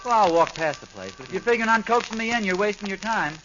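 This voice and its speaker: Stubborn, grumbling sitcom dad, high-pitched, self-justifying, on a crackly, muffled old radio recording. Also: male voice, expressive, fast. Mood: defensive. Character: defensive, stubborn suburban husband and father. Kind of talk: old-time radio situation comedy acting.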